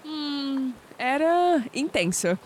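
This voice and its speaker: An actress playing a guarded, teasing young woman researcher with a Brazilian accent in an audio drama, quick with sceptical retorts. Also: high voice, sing-song, exaggerated voice. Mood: reflective.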